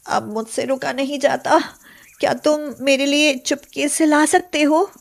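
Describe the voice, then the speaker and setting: A woman's voice: high voice, fairly even intonation, measured pace, vocal fry. A woman playing a young, sly yet sweetly deferential daughter-in-law in an acted moral story. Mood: pleading.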